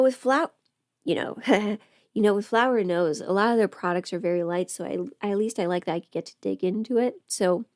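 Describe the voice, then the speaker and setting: A woman's voice: medium pitch, darkish tone, no room sound. A woman casually chatting on a livestream while doing her makeup, playful and absent-minded, muttering to herself in a close-miked voice. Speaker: livestream host, voice performer.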